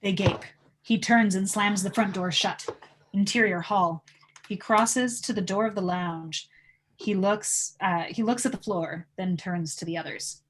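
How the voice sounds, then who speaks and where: A woman narrates screenplay stage directions at a middling pitch. She reads scene headings and action lines in an informal table-read manner, as the narrator among a cast. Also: a little slow, a little hiss or hum.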